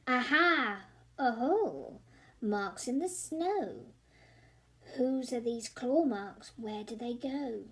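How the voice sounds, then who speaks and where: A woman's voice: fairly high pitch, lively intonation, slow, fairly bright tone, slightly rough, heavily acted. A woman voicing a bold, curious young monster girl in a children's storybook, exclamatory and singsong. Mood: curious, excited.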